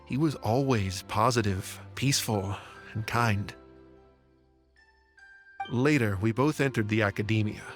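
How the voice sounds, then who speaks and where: A man voices a withdrawn scholar weighed down by grief in an anime game. His voice is lowish and slow, subdued and halting, with faint music behind. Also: lightly acted. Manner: melancholy, halting, sighing. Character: reclusive, grieving male scholar, self-blaming and subdued. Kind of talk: English dubbed anime game acting.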